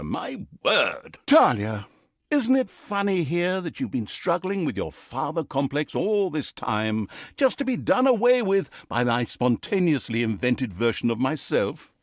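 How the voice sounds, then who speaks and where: An actor playing a smug, petty narrator of a comic fantasy video game, pompous and sardonic, breaking the fourth wall in hammy storytelling. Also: male voice, fairly high pitch, a bit bright, no echo, studio quality, heavily acted. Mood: impatient, exasperated, then dry, mocking.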